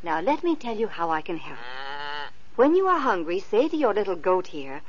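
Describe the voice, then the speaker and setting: A woman playing a gentle, kindly fairy godmother in an old radio play, soothing and tender, on a dull lo-fi recording. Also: medium pitch, very animated, normal speed, husky. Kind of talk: 1950s radio acting.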